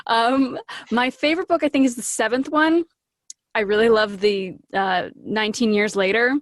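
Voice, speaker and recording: A woman's voice: on the high side, normal speed, no room sound, studio quality. An enthusiastic, bubbly woman chatting casually as an interview guest. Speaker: podcast guest, voice actor.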